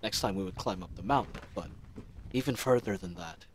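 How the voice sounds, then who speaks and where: A man's voice: low voice, brisk, natural voice. Man acting an earnest fantasy knight captain, ambient noise. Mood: wistful, earnest. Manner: reflective, rambling, earnest, playfully indignant. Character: earnest, chatty young male knight captain, idealistic and teasing. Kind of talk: fantasy audio roleplay drama monologue. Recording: noisy ambient sound effects bed. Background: added outdoor ambience sound effects.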